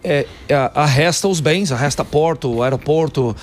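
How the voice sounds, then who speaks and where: A man's voice: a little high, moderate pace. Paulista man explaining with many fillers. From Brazil, São Paulo. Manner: explanatory, fact-listing, with frequent fillers. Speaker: guest, city council member in Texas. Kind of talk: radio talk show interview.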